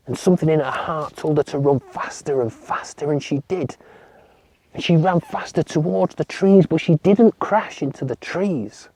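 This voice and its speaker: A man telling a folktale to children in a slow, gentle voice that often drops to a hush. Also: on the high side, husky, dry recording, low-quality recording. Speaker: children's storyteller. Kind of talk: storytelling for children.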